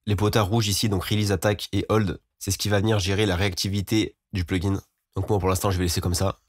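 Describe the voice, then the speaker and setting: Fast, low-voiced man teaching. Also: flat delivery, no room sound, clean studio sound. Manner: informal, explanatory. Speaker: music production tutorial host. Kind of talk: software tutorial voice-over.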